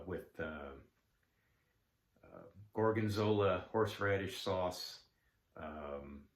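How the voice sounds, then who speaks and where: A man rambles along in a folksy, easygoing way, full of ums and uhs. His voice sits very low and unhurried. It bounces around an echoey room. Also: fairly bright tone. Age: 70s. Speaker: card collecting vlogger. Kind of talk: casual chat.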